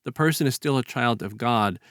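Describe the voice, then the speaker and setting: A man in a casual, reflective podcast discussion, speaking haltingly and repeating words as he builds a point. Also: mid-range voice, fairly bright tone, dry recording, studio quality.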